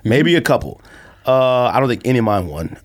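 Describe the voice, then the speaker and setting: A man's voice: medium pitch, sing-song, brisk. A man hosting a podcast in casual banter, with a teasing, opinionated manner. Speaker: podcast host.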